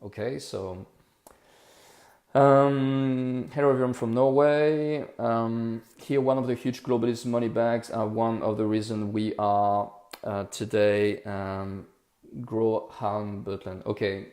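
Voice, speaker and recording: A middle-pitched man of forty speaks English, pressing his points with repetition. It is casual livestream talk, recorded with a noticeable room echo. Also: flat delivery, slow pace, a little mellow. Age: 40s. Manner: impassioned, repetitive, rhetorical. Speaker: livestream host.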